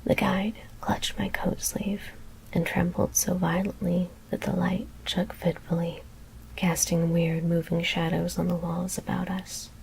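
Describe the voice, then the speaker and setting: Slow whispering woman reading horror. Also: lowish voice, flat delivery, gravelly, low-quality recording. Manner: whispering, hushed and deliberate. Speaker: ASMR storyteller. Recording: faint background noise.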